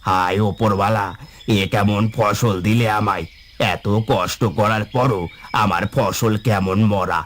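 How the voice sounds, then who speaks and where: A man's voice: on the low side, steady tone, a little slow, fairly bright tone, slight background noise. A man playing a weary, worried village farmer and husband in a cartoon, with a plaintive manner. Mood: despairing. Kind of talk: cartoon voice acting.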